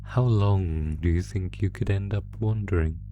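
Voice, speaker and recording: A man voicing a sadistic monster in a horror roleplay audio drama, toying with a captive in a taunting, mock-polite manner with savoring pauses. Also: sits low, dull audio, background noise, lightly acted. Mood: savoring, cruel. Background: musical score.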